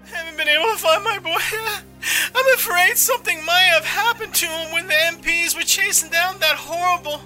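A man's voice: extremely high pitch, normal speed, music in the background, voice pushed up. A man voicing a distraught woman who sobs as she tells a news reporter about a violent chase. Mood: sobbing. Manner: breathless, wavering, hushed. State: crying. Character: distraught sobbing woman witness, middle-aged.

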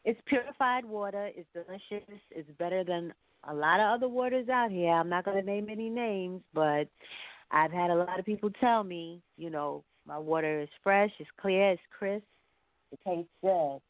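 Low-voiced New woman, phone-like sound. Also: moderate pace, dry recording. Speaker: guest, singer and entrepreneur. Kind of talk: casual conversational interview. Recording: thin phone-like call audio.

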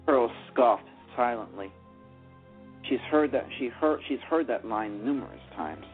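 A man's voice: medium pitch, animated, measured pace, faint music behind. A man narrating a radio play who stumbles and restarts over his words while reading. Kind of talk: reading a story aloud. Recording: tinny phone-line sound.

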